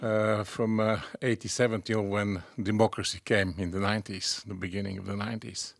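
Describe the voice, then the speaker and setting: A man's voice: fairly low pitch, level tone, a little fast, vocal fry, dry recording. Guy in his 60s, lots of uhs, matter-of-fact, dry close sound. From Bulgaria. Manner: hesitant, many fillers, matter-of-fact. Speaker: audience member.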